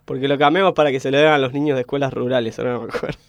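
A man's voice: mid-range voice, steady tone, very fast. An Argentine man who performs in a comedy group, joking colloquially as he rehearses and records sketches.

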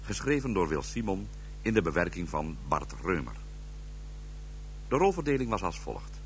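A man's voice: low voice, big pitch swings, normal speed, slight background noise. A man making a formal scripted announcement of credits as a radio announcer.

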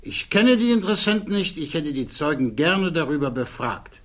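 An actor playing a firm, challenging defence lawyer in a radio drama, formal and assertive, with a gravelly voice. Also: male voice, fairly high pitch, sing-song, moderate pace, fairly bright tone. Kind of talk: 1960s German radio drama acting.

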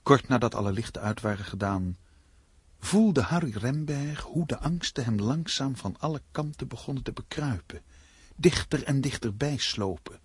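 A man's voice: medium pitch, lively intonation, moderate pace, slightly rough, dry recording. A man narrating a Dutch radio play in dramatic, scripted prose.

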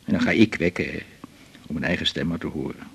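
An actor playing a melancholy man in his forties in a radio drama, with a gravelly, husky voice, confiding in a halting, reflective way. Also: a bass voice, a bit bright, heavily acted. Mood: wistful, reflective. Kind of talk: naturalistic radio drama dialogue.

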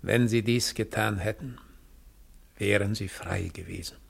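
Slow, gravelly, solemn dying double. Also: male voice, lowish voice, exaggerated voice. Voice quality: gravelly, husky. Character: dying doppelgänger, solemn accuser, poisoned and resigned. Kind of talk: 1960s German radio drama acting.

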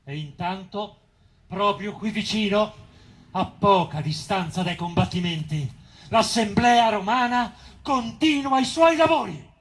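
A man's voice: sits high, animated, measured pace, a bit bright. A man playing an urgent chronicler of a desperate battle, in grave, declamatory stage narration. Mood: solemn. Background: faint live music.

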